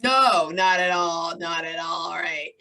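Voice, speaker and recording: A hoarse woman in her thirties with a raspy voice, chatting as a guest and telling a long story with lots of "like" and "right?". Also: fairly low pitch, slow, bright, forceful tone. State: hoarse from talking nonstop. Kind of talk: casual interview chat.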